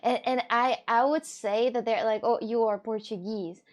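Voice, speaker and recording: High-voiced Russian woman streaming, argumentative. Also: steady tone, normal speed. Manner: argumentative, breaks into disbelieving laughs and cries. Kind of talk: casual livestream chat.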